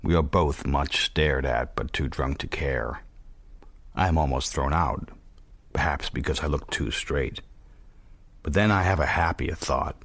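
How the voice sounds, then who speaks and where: Very deep, reflective male narrator. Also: fairly bright tone, no room sound, heavily acted. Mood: wry, content. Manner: introspective, measured, dry. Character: worldly, reflective, watchful male narrator-protagonist. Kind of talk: first-person radio drama narration.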